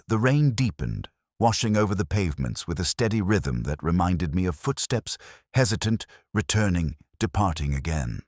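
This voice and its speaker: A man playing a brooding, weary police detective, narrating a mystery in the first person with solemn, measured, literary phrasing. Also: deep voice, no room sound, clean studio sound. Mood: pensive, uneasy.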